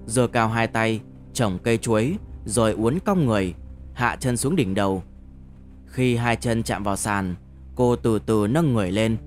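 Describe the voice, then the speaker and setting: A man narrating a novel as an audiobook in a measured, deliberate storytelling manner. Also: fairly low pitch, darkish tone.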